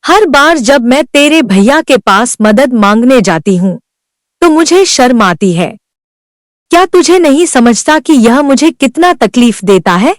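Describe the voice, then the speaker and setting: Tired young village wife, pleading, high voice, slow, dry. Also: female voice, animated, dry recording. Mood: frustrated. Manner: pleading, earnest. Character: Sunita, struggling young village wife, worn down. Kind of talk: Hindi cartoon voice acting.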